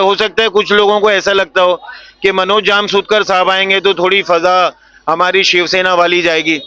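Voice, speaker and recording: A man's voice: sits high, sharp tone, clean tone. A man giving diplomatic, explanatory interview comments on local affairs. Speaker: community interviewee.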